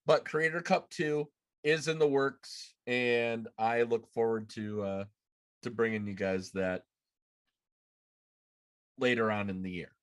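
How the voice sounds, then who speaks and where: A man's voice: medium pitch, steady tone, takes it slowly, pauses often, smooth. A man in his thirties hosting a podcast, chatting casually on a live show from a room at home. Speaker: podcast host. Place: small room at home, indoors.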